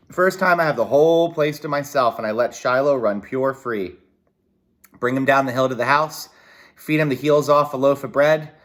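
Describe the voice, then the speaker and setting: A man reading a children's novel aloud in a folksy, expressive way, with short explanatory asides. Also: medium pitch. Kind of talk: read-aloud narration with brief explanatory asides.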